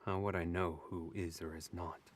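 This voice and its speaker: Guy doing sardonic young elf noble, really deep voice, abrasive, audio drama, no room sound. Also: level tone, normal speed, no room sound. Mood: curious, uneasy.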